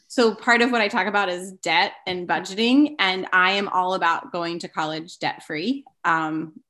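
A woman's voice: fairly low pitch, a bit bright. A woman hosting her own podcast, upbeat and enthusiastic as she interviews a guest. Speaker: podcast host and declutter coach.

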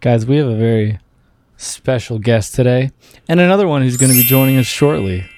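A man's voice: mid-range voice, fairly quick, slight background noise. A man hosting a podcast with casual, teasing, joking banter. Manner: animated, teasing. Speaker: podcast host.

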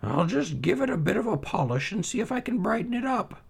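A man voicing Aladdin's mother, a fussy, scolding middle-aged woman with a shrill, exasperated manner. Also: very animated, a little fast, creaky, husky, heavily acted. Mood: grumbling.

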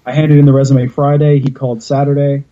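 A man's voice: medium pitch, level tone, fast, mellow, muffled recording. A man from Canada chatting casually and jokingly, rambling with fillers and restarts. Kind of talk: casual chat.

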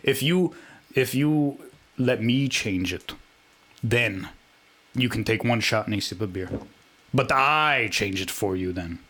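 A man doing an exaggerated French accent as a tipsy, bumbling Frenchman, halting and repetitive in comic podcast chat. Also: medium pitch, lively intonation, pushed and bright, voice pushed down, exaggerated voice. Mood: insistent. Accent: exaggerated mock French. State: drunk. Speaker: podcast co-host.

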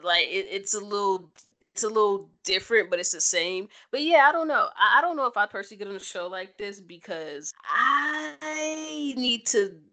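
A woman's voice: medium pitch, lively intonation, moderate pace, sharp tone. A woman co-hosting a sports podcast in casual, playful, teasing chat.

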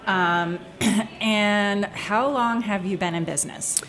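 A woman co-hosting a podcast, asking interview questions in a brisk, matter-of-fact way. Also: medium pitch, a bit bright. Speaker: podcast co-host. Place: hotel studio.

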